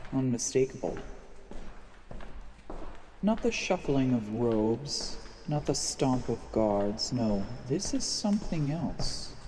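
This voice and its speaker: A man playing a watchful, brooding assassin posing as a noble, narrating deliberately with dramatic pauses in a fantasy audio drama. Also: mid-range voice, darkish tone, slight background noise. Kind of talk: dramatic first-person scripted narration. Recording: long reverb, music underneath.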